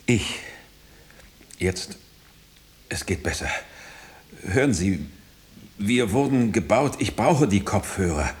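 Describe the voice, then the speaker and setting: Radio drama: haunted engineered man, low register, very animated, urgent, fractured phrasing. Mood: agitated, desperate. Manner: halting, insistent, breaking off sentences. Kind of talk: German radio drama acting.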